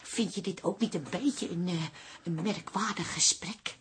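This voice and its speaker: A woman's voice: fairly low pitch, measured pace, slight background noise, natural voice. A woman acting in a radio drama as an uneasy, exasperated city wife, hesitant and wry. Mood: uneasy, polite. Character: exasperated city wife, uneasy among taciturn country in-laws.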